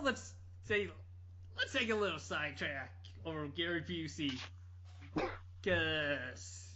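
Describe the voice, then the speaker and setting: Male podcast storyteller, very lively intonation, rambling and jokey, sharp bright tone, lo-fi sound. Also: high-pitched. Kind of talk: casual anecdote telling. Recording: dull lo-fi podcast audio.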